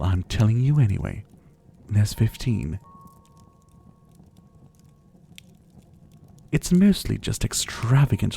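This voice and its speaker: A man's voice: on the low side, dark tone, slight background noise. A man playing a menacing, teasing vampire captor in an intimate ASMR roleplay, drawling and mockingly polite. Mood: idle, conversational. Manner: slow, drawling, mockingly polite, with breathy chuckles. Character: menacing, teasing male vampire captor, silver-haired, about seventy.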